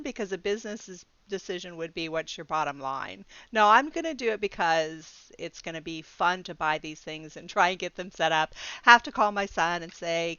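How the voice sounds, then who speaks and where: A woman's voice: medium pitch, fairly bright tone, clear, resonant tone, no echo, dull audio. A middle-aged woman vlogging casually about her budget, rambling a little with frequent ums and restarts and laughing easily. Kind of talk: casual talk to camera.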